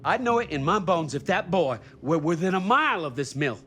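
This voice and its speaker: Folksy, fumbling American watchman, slightly high-voiced. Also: very animated, brisk, pushed and bright, a little hiss or hum. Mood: prickly, resentful. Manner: hesitant, muttering, folksy. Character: shifty, hard-drinking veteran watchman at a mill. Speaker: mill night watchman. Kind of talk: cinematic video game acting.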